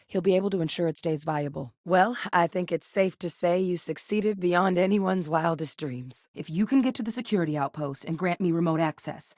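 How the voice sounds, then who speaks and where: A woman's voice: on the low side, normal speed, few pauses, darkish tone, dry recording, studio quality, lightly acted. An actress voicing a tough, no-nonsense female military veteran and scientist in a sci-fi video game, speaking in a clipped, matter-of-fact, commanding way. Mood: composed, matter-of-fact. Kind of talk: scripted video game character dialogue.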